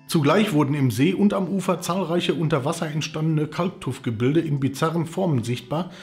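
A man's voice: fairly high pitch, a little fast, a little mellow. A man in his forties hosting a travel channel, presenting scripted narration to camera indoors. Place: indoors, living room. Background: background music.

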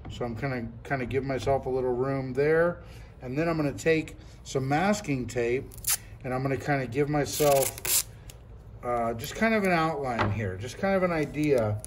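A middle-aged man walks through a product demo in a relaxed, chatty way, narrating each step as he goes. He repeats himself often and talks like he is showing a friend. His voice sits at a middle pitch, with a faint hum of room noise behind him in a classroom. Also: moderate pace. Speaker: product reviewer. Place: classroom at a table.

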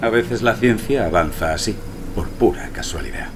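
An actor playing an eloquent, romantic archaeologist who narrates his own story in a poetic, measured way. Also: on the low side, over music, lightly acted. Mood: recounting. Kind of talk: dramatic first-person scripted narration.

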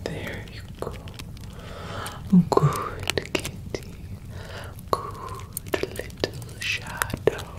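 Very slow, whispering young woman. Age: young adult. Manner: soothing, cooing, gentle. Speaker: ASMR performer. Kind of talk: whispered ASMR witch roleplay, pampering a pet cat.

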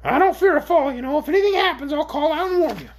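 A shrill male orc from a storybook. The voice sits very high and swoops about with scorn. It whines and grumbles, plaintive and sorry for itself. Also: lively intonation, fast talker. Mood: confident. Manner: plaintive, complaining. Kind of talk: acted storybook character.

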